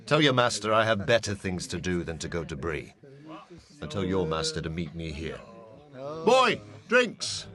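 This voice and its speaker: A man's voice: low-pitched, wildly swooping pitch, normal speed, crowd noise. A man playing a proud, brusque ranger leader in an amateur fantasy audio play, with a commanding, dismissive manner.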